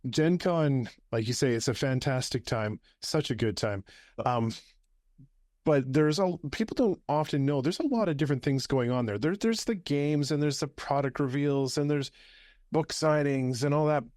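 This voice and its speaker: A man's voice: mid-range voice, moderate pace, darkish tone, dry recording, clean studio sound. A man hosting a casual interview, upbeat and asking friendly open questions. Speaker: podcast host.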